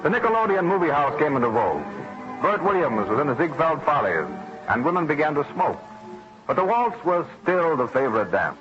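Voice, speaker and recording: A man's voice: on the high side, big pitch swings, brisk. An American man giving scripted old-time radio narration in a dramatic, declamatory delivery. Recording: dull vintage radio recording with music behind. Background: music.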